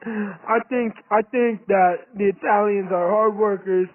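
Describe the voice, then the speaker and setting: Male caller, clearly high voice, phone-like narrow sound, radio interview. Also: fairly even intonation, unhurried. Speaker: call-in guest. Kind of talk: radio phone-in interview, partly reciting facts. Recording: thin phone-like lo-fi line.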